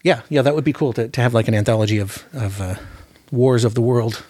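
A man hosts a podcast with an easy conversational delivery. His pitch sits in the middle, he moves along at a very brisk pace, and the sound is dry with little room in it. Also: darkish tone, no echo. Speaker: podcast host. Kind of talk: casual podcast discussion.

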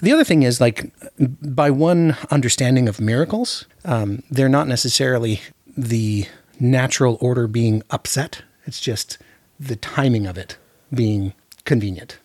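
A man's voice: mid-range voice, measured pace, darkish tone, no echo. A man hosting a casual film discussion, often repeating words as he works out his thoughts. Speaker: podcast host.

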